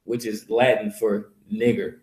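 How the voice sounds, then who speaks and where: A man's voice: fairly low pitch, level tone, measured pace, a little mellow. A man preaching casually to a small group, blunt and profane, rambling with many fillers. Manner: blunt, rambling, profane, repeats "you know". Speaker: street preacher leading a teaching session. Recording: echoey room.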